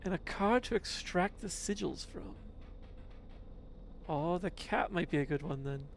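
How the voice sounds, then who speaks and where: A man with a mixed accent casually commentating his card game as a streamer, musing slowly and chuckling to himself. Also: high voice, animated, gravelly, a little hiss or hum.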